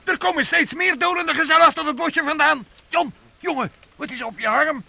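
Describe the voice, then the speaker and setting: An actor playing a concerned companion of fugitives in a youth radio play, solicitous and exclamatory. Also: male voice, very high voice, a little fast, creaky, sharp tone, heavily acted.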